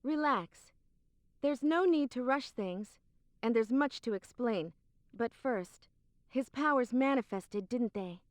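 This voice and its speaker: A woman's voice: fairly high pitch, lively intonation, slow pace. An actress playing a composed, secretive young woman in a video game cutscene, with a cool, matter-of-fact delivery. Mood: calm, serious. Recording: roomy, faint game music behind.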